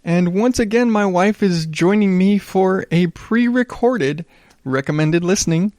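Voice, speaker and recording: A man's voice: a little slow, darkish tone, no room sound, clean studio sound. Casual, rambling man hosting a podcast. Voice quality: smooth. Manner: rambling, self-deprecating, profane, low-key. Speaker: podcast host. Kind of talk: casual solo podcast talk.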